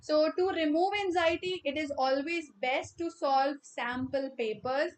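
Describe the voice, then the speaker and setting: A young woman with an Indian English accent teaching maths in a live online class, loud and chatty, reading out students' messages and slipping into Hindi. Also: sits high, measured pace, slight background noise.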